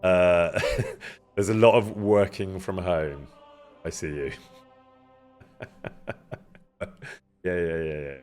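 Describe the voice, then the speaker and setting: Loud, chatty man live-streaming. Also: low voice, fairly even intonation, slow pace, long pauses, clean tone, faint music behind. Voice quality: loud, carrying voice. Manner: chatty, greets viewers by name, laughs often. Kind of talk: casual live-stream chat. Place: indoor room near an event space.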